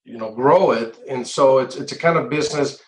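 A middle-aged man from Colombia giving measured, halting answers in an interview, pausing often as he explains. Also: medium pitch. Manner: halting, measured, frequent hesitations. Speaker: interview guest, entrepreneur. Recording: echoey, roomy sound.

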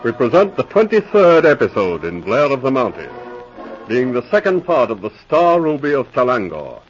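A man's voice: mid-range voice, huge pitch swings, moderate pace, over music. A man announcing and narrating a 1940s radio drama in a formal, dramatic manner. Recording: dull lo-fi old radio transcription.

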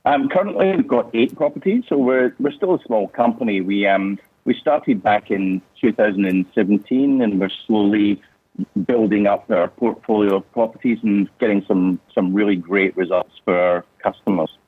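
Hesitant Scottish guest, low husky voice heard down a tinny phone line. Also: male voice, moderate pace, no room sound. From Scotland. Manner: hesitant, many restarts and fillers. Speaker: interview guest, business owner. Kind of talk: casual interview answers. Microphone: phone call.

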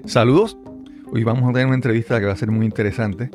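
A man's voice: mid-range voice, steady tone, fast, a little mellow, soft background music. A man hosting a podcast interview, talking conversationally with frequent fillers and restarts. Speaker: podcast host.

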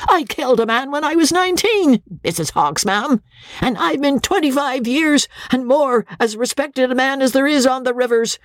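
A woman voicing a raging older riverboat showman who shouts in a squeaky falsetto. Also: lively intonation, normal speed, dry recording, studio quality. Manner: sputtering, shouting in fury.

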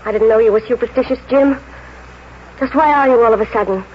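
An actress playing an anxious, pleading wife full of foreboding in a 1940s radio drama. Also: female voice, on the high side, fast talker, lightly acted. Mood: insistent. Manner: pleading, insistent. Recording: muffled, noisy 1940s radio recording.

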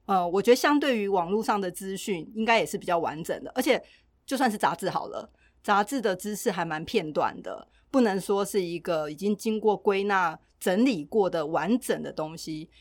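A woman's voice: medium pitch, expressive, moderate pace, dry recording, studio quality. A woman with a Taiwanese Mandarin accent, chatting casually as a podcast co-host. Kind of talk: casual chat.

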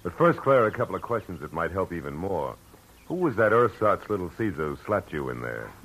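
A deep-voiced hard-boiled private eye. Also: male voice, big pitch swings, a little fast, a bit bright, breathy edge, heavily acted, rough recording. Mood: firm. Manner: terse, wry first-person narration. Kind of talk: 1940s radio drama acting. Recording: old radio broadcast with music.

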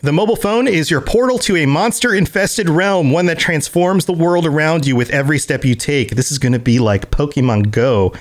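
An American man co-hosting a podcast, chatting casually and reading event listings aloud, with animated jumps to high excited exclamations. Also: brisk, few pauses. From United States. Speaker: podcast co-host.

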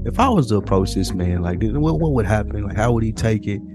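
A man's voice: on the low side, lively intonation, fairly quick, over music. A man co-hosting a casual podcast, speaking emphatically with slang, swearing and repeated phrases. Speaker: podcast co-host.

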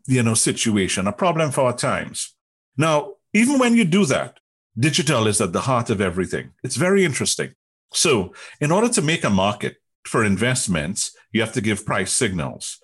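A man with a Trinidadian accent and a husky voice, explaining emphatically in conversation as an interview guest, often posing rhetorical questions. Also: mid-range voice. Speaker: interview guest, technology executive.

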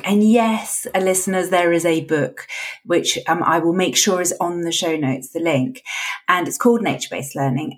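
Husky-voiced woman podcast host. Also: mid-range voice. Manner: enthusiastic, warm questioning. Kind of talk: interview hosting.

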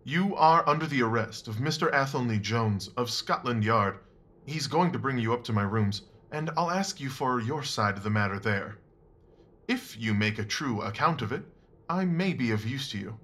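A shrewd gentleman detective acted by a man in a period audio drama, with a bright, forward voice. He speaks formally and with measured authority, composed throughout. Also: medium pitch, expressive, close to an everyday voice. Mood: firm, reassuring. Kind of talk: audio drama acting of Victorian fiction.

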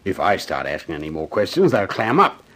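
A shrewd homicide lieutenant in a vintage radio mystery. His low voice moves widely in pitch. He is blunt and sardonic, with dry, slangy delivery. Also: sing-song, brisk, a bit bright, full character voice. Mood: businesslike, firm. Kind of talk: 1950s radio detective drama acting. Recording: vintage 1950s radio recording.

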